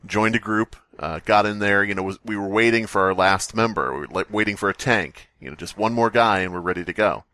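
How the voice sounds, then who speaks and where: Low-voiced man telling a casual podcast anecdote. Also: fairly quick, hardly any pauses, no echo. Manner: animated, profane, does voices in anecdotes. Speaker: podcast host. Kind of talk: casual storytelling among friends.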